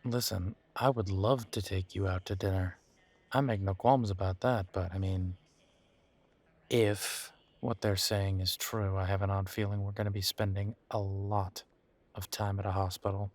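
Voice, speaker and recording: A man playing a weary, wry werewolf boyfriend in an intimate audio roleplay, talking casually with hesitant, trailing pauses. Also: deep voice, flat delivery, natural voice. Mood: reluctant, dry. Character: weary, wry male werewolf boyfriend estranged from his family. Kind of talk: intimate roleplay audio drama, conversational monologue.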